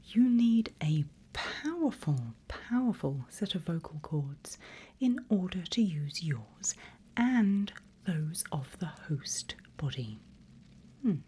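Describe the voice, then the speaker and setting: A woman playing a seasoned demon guide in an ASMR roleplay, teasing, sinister and silkily condescending, in a breathy, drawling whisper. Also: low voice, mellow, exaggerated voice. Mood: instructing, coaxing. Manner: slow, drawling, teasing, mock-sweet.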